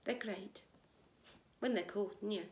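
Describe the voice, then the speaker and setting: A woman's voice: medium pitch, a little slow, a little mellow, slight background noise, lightly acted. A woman acting a jaded middle-aged housewife in a wry, confiding, gossipy comic monologue. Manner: wry, confiding, gossipy, with rhetorical tag questions. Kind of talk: conversational comic stage monologue. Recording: echoey room.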